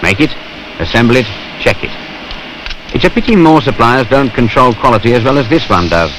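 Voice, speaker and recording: A man's voice: mid-range voice, expressive, a little fast. A British man giving wry, colloquial, conversational narration for a film. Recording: noisy 1970s industrial film soundtrack.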